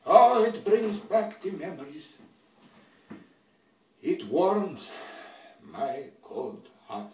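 A man's voice: high voice, sing-song, darkish tone, exaggerated voice. A man playing a nostalgic old patriot who lectures children with broken grammar. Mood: solemn. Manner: slow, solemn, broken grammar. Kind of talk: comedy monologue. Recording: echoey room, distant from mic.

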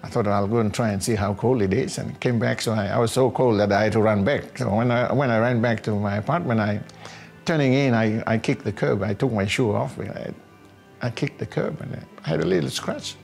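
An older man from a small island, telling his personal story in an interview in a reflective, halting way. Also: fairly low pitch, gravelly, darkish tone. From small Pacific island north of Fiji. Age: older, about 60. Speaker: housing tenant giving a testimonial. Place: small living room at home, indoors. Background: background music.

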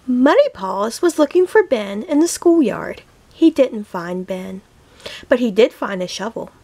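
Woman, storybook read-aloud for small kids; slightly high voice, unhurried, deliberate delivery. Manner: slow, deliberate, expressive phrasing for young children. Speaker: teacher reading a children's picture book aloud.

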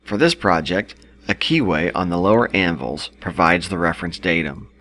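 A man reading a scripted instructional product narration in a measured, explanatory manner. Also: sits low, fairly bright tone.

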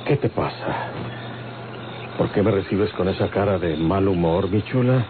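An actor playing a suspicious, swaggering outlaw lover in an old radio soap, familiar and menacing. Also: male voice, deep voice, unhurried, background noise. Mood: suspicious, coaxing. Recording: tinny old radio recording, loud music.

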